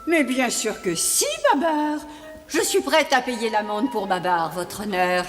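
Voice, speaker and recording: A woman playing a kind, helpful young city lady in a cartoon, gentle and reassuring. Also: fairly high pitch, a little slow, soft background music, natural voice. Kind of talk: animated cartoon dialogue.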